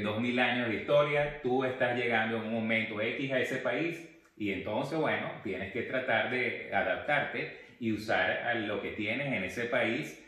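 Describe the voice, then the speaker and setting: Male interview host, Venezuelan, fairly deep, conversational and expressive, recorded in a very reverberant room. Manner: expressive, talks with his hands. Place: indoor living room. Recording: strongly echoing room.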